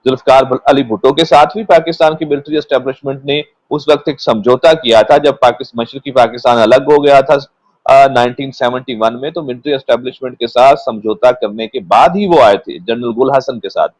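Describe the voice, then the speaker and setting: A man with a Pakistani accent speaking as a guest commentator, explaining politics in a measured, unscripted way and sometimes repeating words. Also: mid-range voice, darkish tone, no room sound, rough recording. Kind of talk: unscripted political analysis in a panel discussion.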